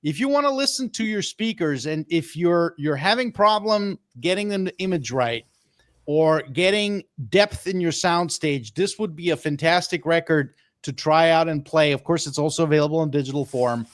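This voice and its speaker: A man's voice: on the high side, expressive, normal speed, dry recording, clean studio sound. A man presenting music picks on an audio roundtable show, enthusiastic and rambling, often repeating words. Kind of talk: casual roundtable chat.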